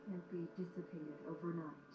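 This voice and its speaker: A woman playing a wise, solemn female cartoon pony in a fan audio drama, speaking in a measured, formal way. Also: lowish voice, fairly even intonation, natural voice. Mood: grave. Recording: muffled, noisy, roomy playback.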